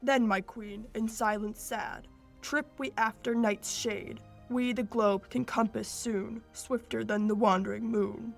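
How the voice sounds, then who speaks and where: A young woman playing a proud fairy king, reciting Shakespearean verse in a measured, declamatory way for a radio play. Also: fairly high pitch, steady tone, gravelly, faint music behind. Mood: stately. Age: teens or young adult.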